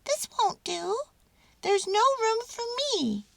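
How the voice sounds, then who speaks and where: A woman voicing a tiny, excitable, tender-hearted male mouse with a squeaky, expressive voice in a children's story. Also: slow, creaky, no room sound, exaggerated voice. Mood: indignant.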